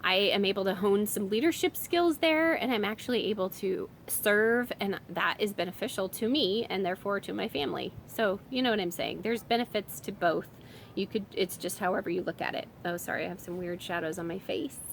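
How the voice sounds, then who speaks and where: A woman's voice: medium pitch, a little fast, gravelly, slight background noise. Chatty woman with a summer cold. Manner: chatty and rambling, many fillers and restarts. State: has a summer cold, voice a bit strained. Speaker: homeschooling mom vlogger. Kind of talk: casual explanatory vlog.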